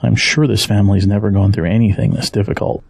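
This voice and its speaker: An actor playing a gentle, worried middle-aged father in an audio drama, speaking in a measured, earnest way with a husky voice. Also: lowish voice, steady tone, no echo. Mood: earnest, reassuring.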